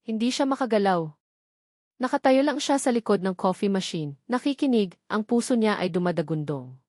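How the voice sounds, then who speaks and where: A woman's voice: medium pitch, lively intonation, moderate pace, darkish tone, dry recording, clean studio sound. Woman narrating a dramatic love story. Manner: dramatic, suspenseful.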